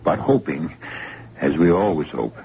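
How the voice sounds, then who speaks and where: An older man hosting a vintage radio mystery show, narrating in a measured, solemn way. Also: very deep voice, lively intonation, gravelly, a crowd in the background. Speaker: radio drama host. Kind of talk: scripted narration. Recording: dull old radio broadcast with loud noise.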